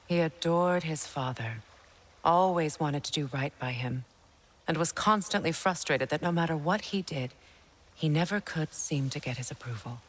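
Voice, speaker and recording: A woman playing a sorrowful, regal middle-aged goddess and mother in a video game, speaking in a measured, earnest, wistful way. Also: low voice, rough recording. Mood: wistful, sincere. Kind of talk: cinematic video game acting.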